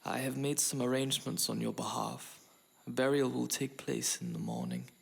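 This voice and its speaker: An actor playing a regretful frontier town doctor in a western audio drama, formal, solemn and halting. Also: male voice, mid-range voice, sing-song, gravelly. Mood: grave, apologetic.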